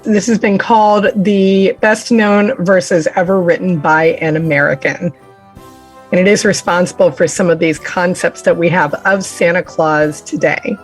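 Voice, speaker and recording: A woman podcast host presenting and reading holiday verse in an expressive storytelling style. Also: on the low side, unhurried, faint music behind, poor audio. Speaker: teacher.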